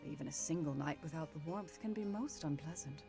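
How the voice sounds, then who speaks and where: A woman's voice: deep voice, gravelly, over music. A woman playing a courteous middle-aged mother in a visual novel, speaking formally and with veiled menace. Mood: polite, composed. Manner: formal, measured, polite. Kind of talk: visual novel character acting.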